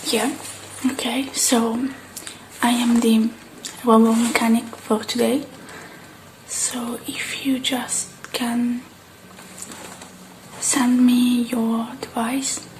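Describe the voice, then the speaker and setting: A woman playing a polite, patient robot mechanic in an ASMR roleplay, soft-spoken and hushed. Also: on the high side, a little hiss or hum, low-quality recording. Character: polite, patient female robot mechanic taking repair orders.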